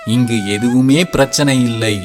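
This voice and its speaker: Soothing protective deity voiced by a man, calm devotional address, mid-pitched, faint music underneath. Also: a little slow, close to an everyday voice. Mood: reassuring. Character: gentle, protective male deity speaking to a devotee. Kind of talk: scripted devotional narration. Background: soft background music.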